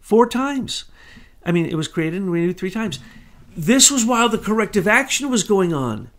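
A middle-aged man co-hosting a political commentary talk, talking fast and insistently. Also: high-pitched, sing-song, fairly bright tone. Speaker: co-host.

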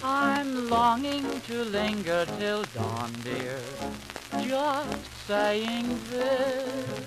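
A singer performing a slow, vintage romantic ballad with drawn-out phrases. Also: mid-range voice, sing-song, poor audio. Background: music.

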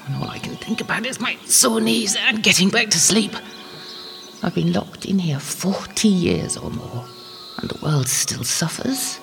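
Creaky old devout woman. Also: low voice, exaggerated voice. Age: older. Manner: talking to herself, muttering and exclaiming. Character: old, devout, lonely, feisty medieval anchoress with aching joints. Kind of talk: audio drama monologue.

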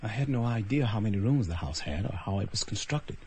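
A man's voice: fairly low pitch, expressive, fairly quick, a little mellow, slight background noise. An actor playing a bewildered, anxious man who wryly recounts a strange adventure in a radio drama, stammering when flustered. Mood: mystified, recounting. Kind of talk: radio drama acting with first-person narration.

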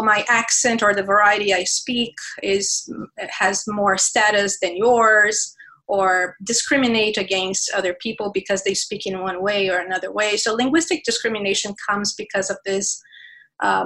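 A woman's voice: mid-range voice, fairly even intonation, moderate pace, bright, forceful tone, rough recording. A woman guest speaking passionately in a conversational interview, often restarting her phrases, with Portuguese as one of her first languages. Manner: passionate, many restarts and fillers.

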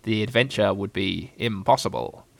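A man voicing a bossy, brisk, self-important scheming rabbit in a children's storybook. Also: mid-range voice, lively intonation, bright, forceful tone, no room sound. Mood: solemn.

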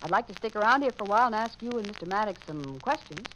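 An actress playing a poised, polite, lightly wry newspaper reporter in a 1940s radio crime drama. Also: female voice, mid-range voice, expressive, fast talker, lightly acted. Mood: tactful. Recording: noisy old-time radio recording.

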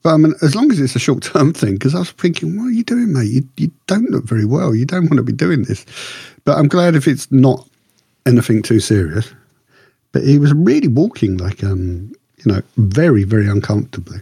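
A man with a mellow, slightly rough-edged husky voice talks casually as a podcast co-host. He rambles and restarts, circling round his point. The sound is dry and close. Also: mid-range voice, sing-song, dry recording. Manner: rambling, halting, circles back. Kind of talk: casual discussion of TV shows.

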